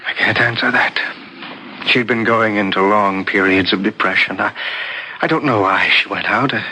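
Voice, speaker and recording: A troubled husband in a seventies radio mystery, burdened with guilt. His voice is on the low side with a bright, slightly tense edge, and he answers in hesitant, guarded starts. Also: moderate pace, a little hiss or hum. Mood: evasive, uneasy. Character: guilt-ridden, evasive, unhappy husband and bereaved father. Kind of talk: 1970s radio mystery drama acting.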